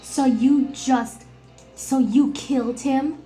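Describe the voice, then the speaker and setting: A woman's voice: high-pitched, steady tone, slow, over music. A woman playing an earnest, youthful companion character in a video game. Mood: shocked, earnest.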